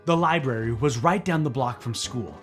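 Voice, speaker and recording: A man's voice: mid-range voice, moderate pace, fairly bright tone, soft background music. A man reading a children's book aloud as theatrical narration, dramatic and playful.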